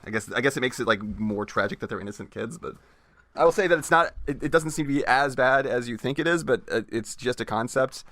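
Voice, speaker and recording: A man's voice: medium pitch, quick pace, fairly bright tone. A man co-hosting in a casual chat, commenting bluntly and swearing freely. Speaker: co-host commenting on the reading.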